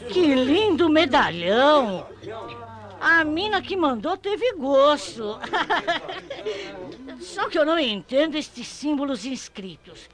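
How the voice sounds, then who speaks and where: A performer playing a naive twelve-year-old boy in a radio mystery play, expressive and exclamatory. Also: high-pitched, exaggerated voice. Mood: eager. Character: twelve-year-old boy, grumbling, eager, easily frightened. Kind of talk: radio mystery drama acting. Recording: AM radio drama with loud music behind.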